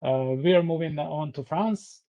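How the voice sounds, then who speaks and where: A man's voice: on the high side, measured pace, lo-fi sound. A man moderating a webinar on a video call, handing off to panelists with brief polite prompts. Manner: brief polite hand-offs. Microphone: webcam on video call.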